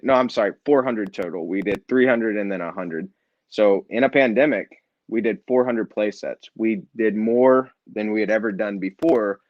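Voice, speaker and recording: Earnest low-voiced man, muffled sound. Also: no echo. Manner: earnest, reflective, restarts often. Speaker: nonprofit founder and author, guest. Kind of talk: conversational interview.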